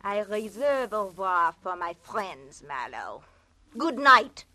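A woman's voice: medium pitch, very animated, takes it slowly. A woman playing a seductive, dangerous femme fatale with a thick French accent and purring, theatrical delivery, in 1940s-style radio drama. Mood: menacing.

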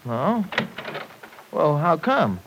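Excitable, folksy young man, higher-pitched. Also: extremely slow, a little hiss or hum, full character voice, poor audio. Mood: curious, friendly. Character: brash, folksy young dairy worker, skeptical, protective boyfriend. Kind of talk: old-time radio serial acting. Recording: vintage radio drama recording.

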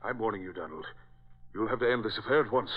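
A man's voice: on the low side, animated, quick pace, slight background noise, natural voice. Guy doing bossy indignant old dad, retired diplomat type, 1940s radio drama, muffled old recording. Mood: stern, scolding. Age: older. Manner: indignant, imperious, scolding. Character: strong-willed, domineering old retired diplomat father. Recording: dull vintage radio recording.

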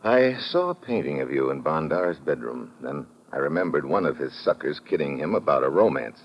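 A tough American government agent in an old radio thriller. His low voice is animated and clipped, full of wisecracking slang. The sound is muffled and noisy. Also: normal speed. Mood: accusing. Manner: hard-boiled, slangy, clipped. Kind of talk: old-time radio detective acting and narration.

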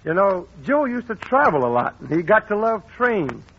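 A man with a high, bouncy voice narrates a story in a chummy, folksy way on a 1940s radio show. His pitch leaps around as he talks. The sound is dull, with a faint hiss behind him. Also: sing-song, normal speed, vocal fry. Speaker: radio announcer.

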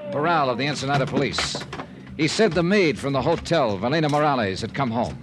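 An actor narrating as a hardboiled detective in measured 1950s radio drama style, on an old radio transcription with music. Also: male voice, medium pitch, lively intonation, very noisy, close to an everyday voice.

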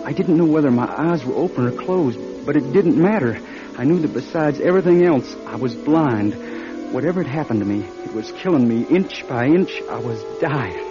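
An actor playing a scared, suspicious young man in a radio drama, speaking in an anxious, halting stammer. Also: medium pitch, animated, natural voice. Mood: dread, halting recollection. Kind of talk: audio drama acting, first-person narration and dialogue. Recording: dull old radio drama, music behind.

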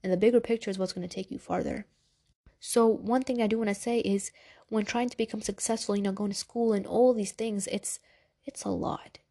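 A woman's voice: mid-range voice, fairly even intonation, fairly quick, gravelly, mellow, husky. A congested young woman hosting a podcast, giving casual, direct motivational talk with a soothing voice. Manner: chatty, direct, addresses listeners as girl and y'all. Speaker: podcast host.

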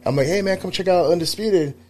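A man's voice: high-pitched, fast, a little mellow. A man in his thirties co-hosting a casual podcast, telling rambling, profane stories full of fillers. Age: 30s. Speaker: podcast co-host, barber.

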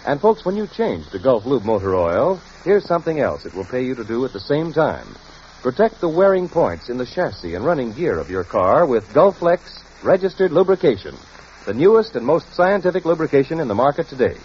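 A man's voice: mid-range voice, very animated, a little fast, darkish tone. A man announcing on a vintage radio show with a folksy, emphatic sales delivery. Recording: muffled, hissy vintage radio recording.

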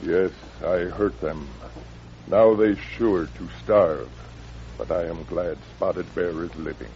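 A deep, solemn, defeated warrior chief. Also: male voice, darkish tone. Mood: resigned, solemn. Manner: halting broken English, grave. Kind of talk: old-time radio western acting. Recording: muffled, noisy vintage radio recording.

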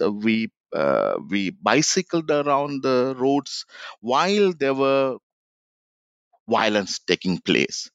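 A man, an author answering interview questions reflectively, with many pauses and restarts. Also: mid-range voice, dry recording. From Sri Lanka. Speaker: author, guest. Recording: dull lo-fi dry sound.

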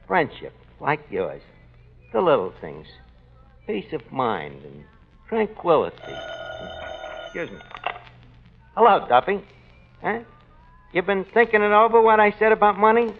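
A man playing a brash, loudmouthed tavern manager in a 1940s radio comedy, quick to blow up. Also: high voice, very animated, faint music behind, full character voice. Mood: sentimental. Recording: tinny old radio broadcast.

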